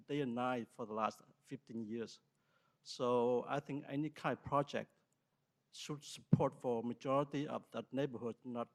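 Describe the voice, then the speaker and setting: A man from Vietnam with a Vietnamese accent, giving a halting, earnest public comment at a meeting as a small business owner. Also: mid-range voice. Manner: halting, earnest, non-native phrasing.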